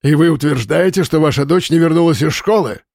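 A man's voice: a little high, brisk, creaky, a little mellow, no room sound, studio quality, voice pushed up. A man voicing a stern, weary older police investigator in an audiobook, with a clipped, commanding manner.

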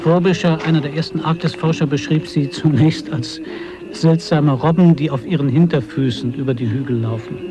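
A man acting an earnest, reflective adventurer in a radio drama, speaking in a measured, introspective way. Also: a little high, fairly even intonation, a crowd in the background, natural voice, poor audio. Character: earnest, reflective male adventurer setting out for the Pole.

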